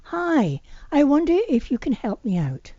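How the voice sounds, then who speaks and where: A woman's voice: sits high, very animated, unhurried, warm tone, dry recording, dull audio, pitched above the performer's own voice. A woman reading the part of a hesitant but pushy female friend asking a favour in an amateur audiobook. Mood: hesitant pleading. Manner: hesitant, coaxing. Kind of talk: amateur audiobook character dialogue.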